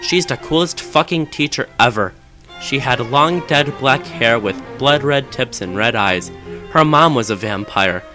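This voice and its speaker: A man's voice: mid-range voice, lively intonation, unhurried, sharp tone. A man reading fan fiction aloud as a dramatic narration, with music behind him.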